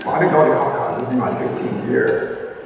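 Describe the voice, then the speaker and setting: A man's voice: medium pitch, fairly even intonation, quick pace, heavy background noise. A man speaks in an unscripted interview with emphatic enthusiasm. His mid-range voice comes from a distance, washed in heavy echo and long reverb. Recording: distant, very echoey hall sound.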